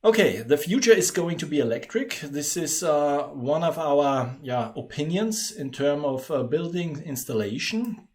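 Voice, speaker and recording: A native German-speaking man with an Austrian accent, hosting a webinar and explaining things in a measured way. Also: fairly high pitch, animated, darkish tone. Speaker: webinar host, company presenter. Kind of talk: webinar presentation.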